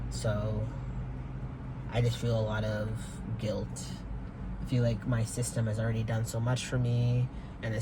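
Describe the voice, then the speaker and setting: A young man talking in a rambling, hesitant way, with many fillers and casual swearing, recording a personal vlog from inside his car. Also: fairly low pitch. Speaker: vlogger. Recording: car noise in background.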